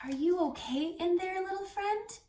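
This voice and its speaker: A woman's voice: extremely high pitch, animated, normal speed, dark tone, a little hiss or hum, voice pushed up. A woman voicing a band of kindly, eager forest animals in a children's storybook, exclaiming and encouraging. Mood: urgent, concerned. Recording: roomy echo, distant mic, music behind.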